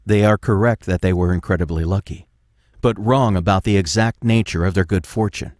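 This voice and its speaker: A man narrating an audio drama from a script, announcing scenes in a measured way. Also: low voice, darkish tone, no echo, clean studio sound.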